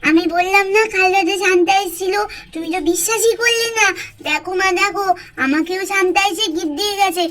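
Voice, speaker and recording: A woman voicing an excitable little girl doll in a cartoon story, childlike and eager, repeating her phrases. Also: extremely high pitch, a little fast. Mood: eager, insistent.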